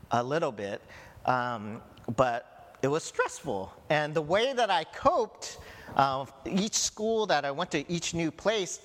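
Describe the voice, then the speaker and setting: A man's voice: medium pitch, fairly bright tone. A middle-aged American man preaching a sermon as pastor, talking conversationally with frequent "right?" asides. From United States. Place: church hall. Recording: long hall reverb.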